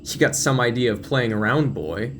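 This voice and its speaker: A man playing a weary, gruff older small-town sheriff in an audio drama, folksy and measured. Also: medium pitch, animated, a bit bright, a little hiss or hum, natural voice. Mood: weary, grim.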